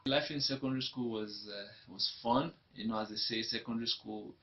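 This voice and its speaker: A man's voice: medium pitch, fairly even intonation, brisk, a little hiss or hum. A young Nigerian man with a Nigerian accent, telling his life story in an interview in a reflective way that often repeats itself. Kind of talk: personal testimony. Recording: dull lo-fi recording.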